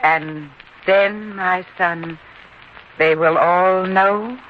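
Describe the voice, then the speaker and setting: A woman acting a patient, worried widowed mother on a farm in an old-time radio drama, reasoning gently and in measured phrases. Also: lowish voice, fairly even intonation. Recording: dull, lo-fi radio recording with hiss.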